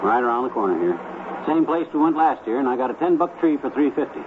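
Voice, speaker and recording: A man's voice: medium pitch, animated, fast, natural voice. A man playing a boastful, cocky cheapskate husband who loves to haggle, in a slangy 1950s radio comedy style. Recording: tinny, hissy 1950s radio recording.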